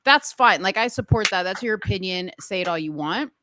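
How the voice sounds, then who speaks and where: A woman co-hosting a talk show, speaking frankly and chattily in a casual debate, quick to laugh. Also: medium pitch, expressive, bright, forceful tone, a little hiss or hum. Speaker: show co-host.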